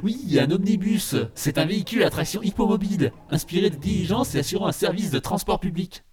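A man's voice: high-pitched, expressive, fairly quick. A man playing a bumbling, literal-minded all-knowing narrator in a comic audio drama, hesitant yet mock-pompous.

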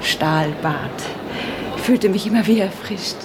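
An actress playing an ambitious mayor's wife posing as a cultured lady in a German radio play, affected and high-flown in manner. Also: unhurried, background noise, close to an everyday voice. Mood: lofty, gushing. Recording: loud music behind the voice.

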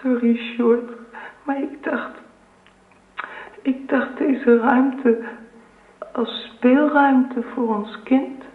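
Tinny roomy radio drama, young wife, Netherlands Dutch, affectionate, practical, gently insistent. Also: female voice, high voice, fairly even intonation, extremely slow, pauses often. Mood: tender, confiding. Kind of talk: radio play acting.

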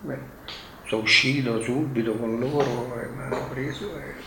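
An older man giving halting, fragmented testimony as a witness. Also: medium pitch, fairly even intonation. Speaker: witness recalling wartime. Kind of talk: spoken testimony. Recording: echoey, slightly noisy archive interview.

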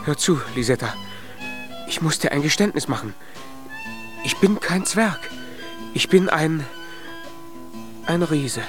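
An actor playing a kind, shy young giant as small as a dwarf, hesitant and earnest, in a children's radio play. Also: male voice, fairly high pitch, lively intonation, takes it slowly, long pauses, faint music behind. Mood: earnest, confessing. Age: young adult.

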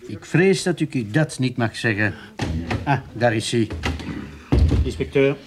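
An actor playing a dutiful, formal police sergeant in a radio play, official and reserved in manner. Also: male voice, mid-range voice, lively intonation, unhurried, noisy background, natural voice. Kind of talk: Dutch-language radio play acting.

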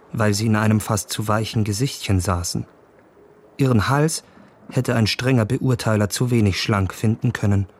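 A man playing an earnest, shy, enamoured young gentleman in a literary radio play, speaking tenderly and dreamily. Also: fairly low pitch, a little hiss or hum, natural voice. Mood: enchanted.

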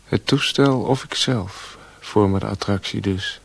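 An actor playing a wry, wary adventurer in a radio drama, with a husky voice. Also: male voice, lowish voice, measured pace, exaggerated voice. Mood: musing.

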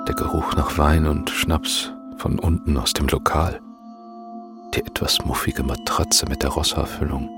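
A man's voice: a bass voice, little pitch movement, a little slow, over music. A man giving atmospheric, scripted literary narration in a German radio drama.

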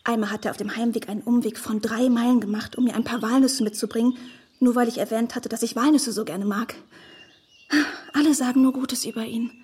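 A woman's voice: fairly high pitch, steady tone, a little fast, a little mellow, breathy edge. An actress playing a naive, eager and deferential young woman in a radio play, artless and hesitant in her speech. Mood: fond, eager.

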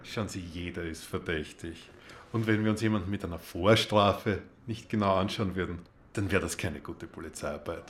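An actor playing a formal, probing male police inspector in a radio drama, speaking in an official, measured way. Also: low-pitched, a little hiss or hum, close to an everyday voice. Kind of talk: naturalistic radio drama acting.

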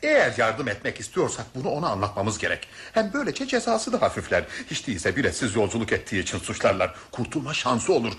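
A man's voice: medium pitch, sing-song, fast, few pauses, fairly bright tone. A man acting in a Turkish radio play as a blustering, self-protective train passenger, scoffing and emphatic.

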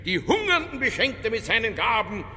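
An actor playing a stubborn, provocative Bavarian police detective in a radio crime drama, earthy and argumentative. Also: male voice, extremely high pitch, big pitch swings, a little fast, pushed and bright, a little hiss or hum, full character voice. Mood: defiant, ranting. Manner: earthy, argumentative, quoting scripture.

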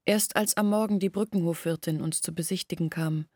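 An actress playing a young village woman in a German radio drama, recalling her family's past in a measured, reflective way. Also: fairly low pitch, steady tone, a little mellow, dry recording, studio quality. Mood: reflective, earnest. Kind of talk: German radio play acting, reflective storytelling.

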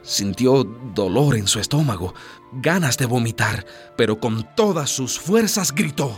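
Animated storyteller over soft music. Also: mid-range voice, measured pace. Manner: expressive storyteller. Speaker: narrator of a radio drama. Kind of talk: scripted storytelling narration. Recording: music behind the voice.

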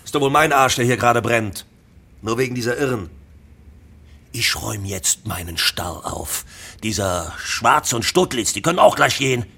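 A man's voice: medium pitch, lively intonation, moderate pace, creaky, bright, forceful tone, breathy edge, exaggerated voice. An actor in a radio play playing a hard-nosed, irate male boss, blunt and coarse in manner. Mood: furious, ranting.

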